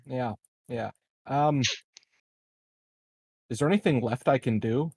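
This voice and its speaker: A man's voice: mid-range voice, takes it slowly, vocal fry, a little mellow, husky, no room sound. Man playing a tabletop game, dry sound. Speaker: player in a tabletop roleplaying game. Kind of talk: casual in-game banter.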